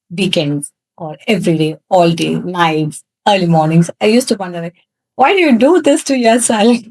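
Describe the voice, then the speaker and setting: A woman from South India whose first language is Tamil, telling her personal story as a guest in a podcast interview, candid, with frequent laughs and halting fillers. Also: mid-range voice, darkish tone, dry recording, rough recording. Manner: candid, laughs often, pauses with many fillers. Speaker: guest, cosmetics founder.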